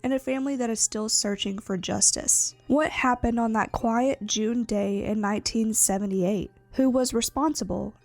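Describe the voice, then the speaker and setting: A young woman with a cold hosts a true crime podcast, her voice stuffy and congested. The tone is gravelly, with plenty of fry, and the recording is dry and close. She chats casually and uses lots of filler words. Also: medium pitch, no room sound. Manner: chatty, many fillers. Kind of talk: casual chatty podcast talk. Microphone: sitting to film, close mic.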